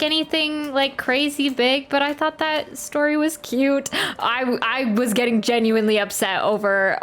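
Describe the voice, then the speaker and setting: A woman's voice: high voice, fairly bright tone. A woman game streamer chatting casually and reacting on a livestream.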